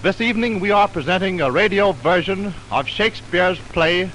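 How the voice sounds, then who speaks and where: An American man announcing a 1920s radio programme from a script, formal, measured and declamatory, on a scratchy old recording. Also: high-pitched, lively intonation, a bit bright. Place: radio studio.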